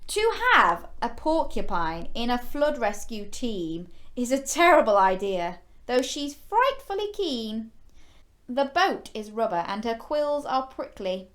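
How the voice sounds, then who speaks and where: A woman's voice: fairly high pitch, very animated, takes it slowly, fairly bright tone. A woman hosting a children's story time, reading a picture book expressively with exclamations and playful questions to young listeners. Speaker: children's storyteller and show host.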